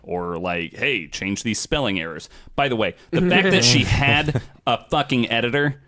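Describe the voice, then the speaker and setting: A man's voice: mid-range voice, animated, normal speed, sharp tone. A man hosting a podcast, telling stories in a casual, informal way with loose, sweary phrasing. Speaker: podcast host. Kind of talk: casual storytelling podcast talk.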